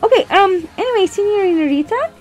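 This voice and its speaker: High-voiced woman hosting a puppet show. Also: animated, fast talker, over music. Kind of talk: casual chat for children. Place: small indoor room.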